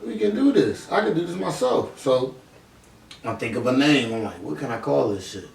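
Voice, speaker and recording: A man's voice: mid-range voice, expressive, moderate pace, vocal fry, darkish tone. Echoey Philadelphia man chatting on a podcast. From Philadelphia, United States. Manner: slangy, profane. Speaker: podcast host. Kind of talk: casual podcast chat. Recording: roomy echo, distant mic, faint noise.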